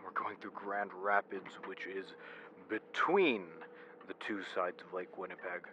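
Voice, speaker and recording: A man's voice: low-pitched, fairly even intonation, unhurried, pushed and bright, highs cut off, a little hiss or hum. A man playing a cautious, exasperated van driver in an audio drama, with a wry, grumbling manner. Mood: worried, exasperated.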